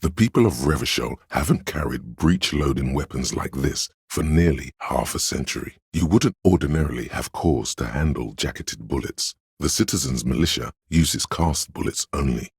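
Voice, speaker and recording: An extremely deep male voice plays a know-it-all encyclopedia living inside someone's head in a video game. It is heavily gravelled and very husky. The delivery is measured, learned and lecturing, like scripted narration. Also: expressive, no echo, clean studio sound, full character voice. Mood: erudite, measured.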